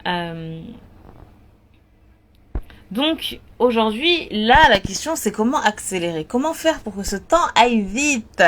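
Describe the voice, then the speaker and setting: A woman talking earnestly and directly to her listeners, repeating words and pausing on fillers as she gives spiritual advice. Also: on the high side, sing-song, a bit bright, slight background noise. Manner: earnest, repetitive, lots of fillers. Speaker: spiritual advice content creator. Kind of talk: casual talk.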